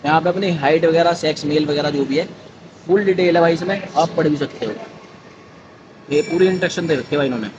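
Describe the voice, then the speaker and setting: Young male vlogger, high-pitched and excitable; muffled, noisy outdoor audio. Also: brisk. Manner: excited, chatty, keeps saying bhai. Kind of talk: casual vlog commentary. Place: outdoor mall walkway at night.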